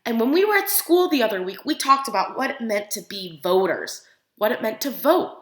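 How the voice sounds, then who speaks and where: Casting: woman preschool teacher, bright high voice, expressive picture-book read-aloud with questions to little listeners, recorded in an echoey room. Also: a little fast. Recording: roomy, echoing room sound.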